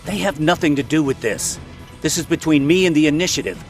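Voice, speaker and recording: A man's voice: fairly high pitch, a little fast, faint music behind, close to an everyday voice. A man playing a protective, defiant superhero father in an audio drama. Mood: tense, pleading.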